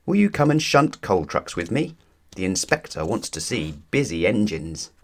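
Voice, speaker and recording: A man's voice: on the low side, sing-song, moderate pace. A man voicing an eager, cheery young male tank engine in a children's storybook, with a peeping, chirpy manner.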